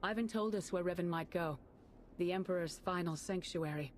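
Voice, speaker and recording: A woman's voice: on the low side, moderate pace, a little hiss or hum, close to an everyday voice. An actress playing a composed, earnest female Jedi leader in a video game. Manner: earnest and pleading.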